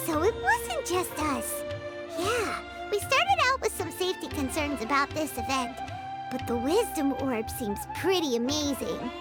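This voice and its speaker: Tiny fairy sidekick, very high childlike voice, excitable, chatty, swooping intonation, video game. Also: female voice, unhurried, loud music behind the voice. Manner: refers to self in third person, exclamatory.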